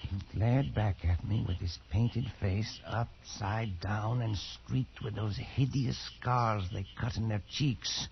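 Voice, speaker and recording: A man's voice: fairly low pitch, fairly even intonation, takes it slowly, gravelly, few pauses, slight background noise. An actor playing a shaken, defensive colonial Englishman in a post-war radio drama, speaking in a hesitant, stammering way. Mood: frightened, shaken. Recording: dull vintage radio recording with music.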